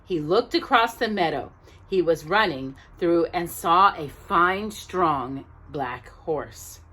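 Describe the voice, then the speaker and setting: A woman reading a children's story aloud expressively, as if to young listeners, pausing to check in with them. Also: lowish voice, expressive, pushed and bright, husky. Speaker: storytime reader.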